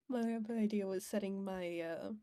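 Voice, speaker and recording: A woman's voice: medium pitch, moderate pace, mellow, no echo, clean studio sound. A woman chatting casually as a player in a tabletop game, hesitantly working out her moves. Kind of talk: casual chat during game play.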